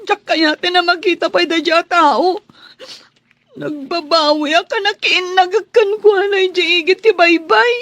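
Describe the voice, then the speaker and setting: A wounded young Filipino housemaid in a radio drama. Her voice is very high and weepy, with slow, plaintive delivery in a dry recording. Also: fairly even intonation, a bit bright, no room sound. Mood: tearful, hurt. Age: young adult. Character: heartbroken young housemaid in love, tearful and wounded.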